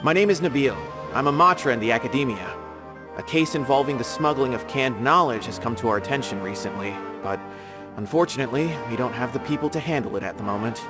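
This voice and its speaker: A man's voice: mid-range voice, expressive, fast, lightly acted. A man voicing a polite, earnest male academy official in a video game, explaining matters formally and courteously. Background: game music.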